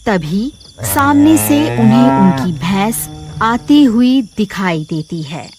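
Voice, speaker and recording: A woman's voice: fairly high pitch, lively intonation, slow pace, loud music behind the voice. A woman narrating a moral story from a script in a dramatic storytelling style. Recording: loud cattle and cricket sounds behind.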